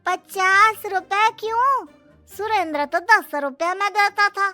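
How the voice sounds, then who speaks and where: Woman playing excitable, very high-pitched villager. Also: slow pace, soft background music, full character voice. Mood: indignant protest. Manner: exclaiming, animated. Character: chatty, excitable villagers, noodle-loving customers. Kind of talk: animated moral-story cartoon acting.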